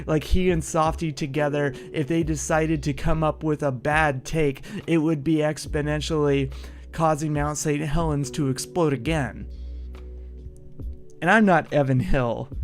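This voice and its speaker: A man's voice: fairly high pitch, steady tone, moderate pace, soft background music. A man hosting a solo podcast, talking in a casual monologue that is punchy and combative, with free swearing.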